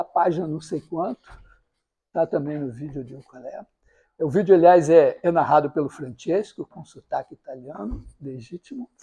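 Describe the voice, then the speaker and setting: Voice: mid-range voice, gravelly, a little mellow, slightly rough. A university mathematics lecturer with a Brazilian accent gives an informal, storytelling lecture in a rambling, digressive, self-correcting way.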